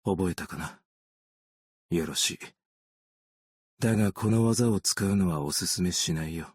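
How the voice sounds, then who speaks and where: A man voicing a weary, gentle, long-lived optician in a video game, measured, understated and quietly melancholic. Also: low-pitched, husky, dry recording, studio quality. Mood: calm, reflective. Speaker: video game character voice actor. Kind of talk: scripted game character voice lines.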